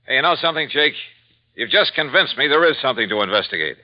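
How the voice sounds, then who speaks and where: An actor playing a wry, dry-humored insurance investigator who also narrates, in a 1950s radio drama. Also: male voice, mid-range voice, animated, fast talker, dry recording, close to an everyday voice. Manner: wry, dry, hard-boiled. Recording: dull lo-fi 1950s radio recording with faint music.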